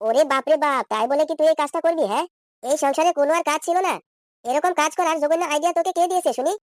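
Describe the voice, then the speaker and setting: A man voicing an anxious, excitable young man in a comedy cartoon, pleading and exclaiming through a pitched-up, sped-up effect. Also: rapid-fire, no room sound. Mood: appalled, flustered.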